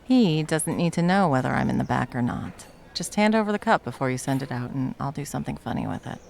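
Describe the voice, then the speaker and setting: Actress as nonchalant villain moonlighting as cafe worker, comedy ASMR; gravelly fry, animated pitch, deadpan teasing. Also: female voice, fast, soft background music, exaggerated voice. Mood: casual, deadpan. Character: smug, deadpan young villain working as barista. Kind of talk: comedic ASMR roleplay acting.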